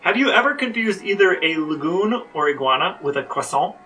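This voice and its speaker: A man's voice: high-pitched, lively intonation, fairly quick, sharp tone, recorded from a distance, faint music behind. A man co-hosting a casual podcast, explaining things and asking questions. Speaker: podcast co-host, interviewer.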